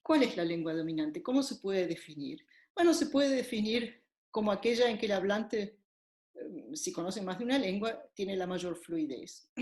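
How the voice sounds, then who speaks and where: A woman's voice: medium pitch, darkish tone. A woman linguistics lecturer giving a recorded academic lecture, explaining ideas step by step with occasional fillers. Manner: measured, explanatory, with frequent fillers. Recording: echoey room.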